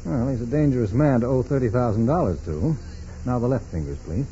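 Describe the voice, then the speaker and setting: An actor playing a calm, probing FBI agent in a 1940s radio drama, questioning in a businesslike, matter-of-fact way. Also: male voice, medium pitch, fairly quick, natural voice. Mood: calm, pointed. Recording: muffled, noisy 1940s radio recording.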